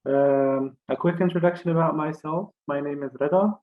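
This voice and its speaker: A young man from Morocco gives a career fair talk over a video call, his voice a touch high. He halts often and restarts phrases. The call audio sounds slightly muffled and dull. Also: steady tone. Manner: halting, many uh fillers and restarts. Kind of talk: online career talk presentation.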